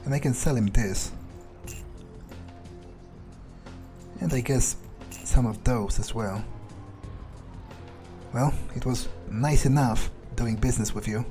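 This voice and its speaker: A man voicing a cocky vampire in an in-character video game playthrough, musing aloud in a casual, halting way. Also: mid-range voice, warm tone, faint music behind, lightly acted. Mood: businesslike. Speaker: gamer roleplaying his character.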